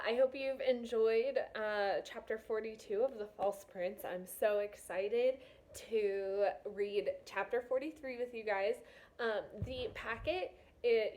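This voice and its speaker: A woman's voice: mid-range voice, a little mellow, a little hiss or hum. A woman teacher reading a novel aloud to students, with casual, encouraging asides. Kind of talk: read-aloud of a children's novel with explanatory asides.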